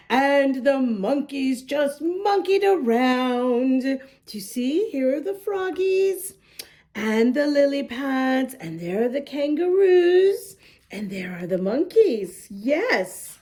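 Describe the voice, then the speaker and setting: High, animated woman reading to little kids. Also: slow pace. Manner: expressive, sing-song, addressing small children. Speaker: children's story-time host reading a picture book. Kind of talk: children's read-aloud with asides to young listeners.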